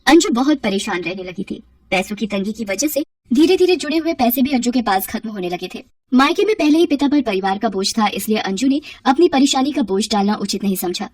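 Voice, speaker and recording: A woman's voice: fairly high pitch, expressive, fairly quick, darkish tone, husky. A woman reading a scripted children's story with dramatic delivery. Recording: roomy echo, distant from mic.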